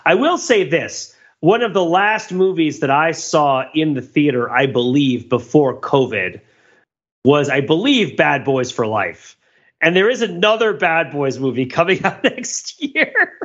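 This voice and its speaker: A man's voice: a little high, expressive, unhurried, a bit bright, lo-fi sound. A man co-hosting a podcast, talking casually about pop culture in an enthusiastic, geeky way. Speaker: podcast co-host.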